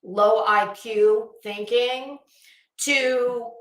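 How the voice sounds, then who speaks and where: A woman's voice: a little high, fairly even intonation, extremely slow, roomy sound, rough recording. A woman delivering an opinionated online commentary rant, blunt, scolding, emphatic and sweary. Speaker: online commentary video host.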